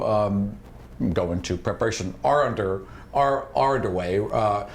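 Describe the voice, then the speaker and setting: A man's voice: medium pitch, a little mellow. A man narrating a tabletop fantasy game as its game master.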